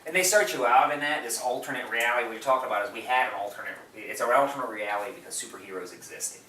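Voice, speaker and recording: Male podcast co-host, bright and keen, gushing in casual film chat, picked up from across a roomy space. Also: medium pitch, very fast. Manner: enthusiastic, gushing. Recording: roomy, distant mic, background noise.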